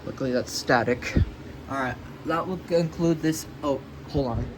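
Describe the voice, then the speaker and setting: A man thinking aloud in a slow, halting way while setting up a device, with many pauses and okays. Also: medium pitch. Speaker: hobbyist vlogger unboxing a weather radio. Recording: loud background noise.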